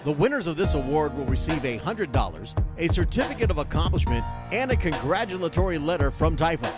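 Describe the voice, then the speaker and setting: A man's voice: a little high, very animated, brisk. A man reading a scripted radio announcement as an announcer. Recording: produced spot over loud music bed. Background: loud music.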